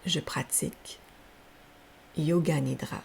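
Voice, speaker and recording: A woman leading a guided yoga nidra relaxation as a teacher, speaking softly and breathily in gentle, unhurried, soothing instructions. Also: low-pitched, gravelly. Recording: faint steady background hiss.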